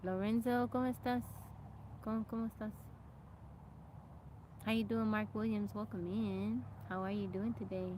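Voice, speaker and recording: A woman's voice: medium pitch, normal speed, pauses often, a little hiss or hum, poor audio. A woman live streaming outdoors on a farm from her phone, chatting casually and telling a story with lots of laughs. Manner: animated storyteller, laughs often, thanks viewers by name. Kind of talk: casual livestream storytelling and chat.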